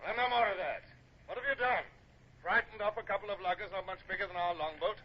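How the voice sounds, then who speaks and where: An actor playing a stern, commanding British naval captain in a radio drama, formal and crisp in manner. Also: male voice, sits high, quick pace, natural voice. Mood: angry, barking. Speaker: lead actor and narrator. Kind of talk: vintage radio drama acting and narration. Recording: dull, faintly noisy old radio recording.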